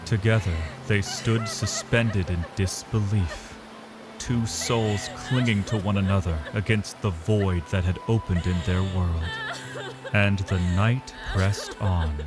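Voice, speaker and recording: A man giving solemn, dramatic scripted narration, grave and measured, pausing between short phrases. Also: fairly low pitch. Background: cinematic music.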